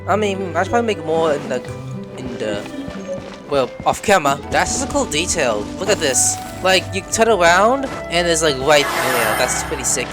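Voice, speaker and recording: A woman's voice: low-pitched, sing-song, moderate pace. A woman giving casual playthrough commentary, reacting off the cuff and musing aloud. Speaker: game streamer. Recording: game music behind the voice.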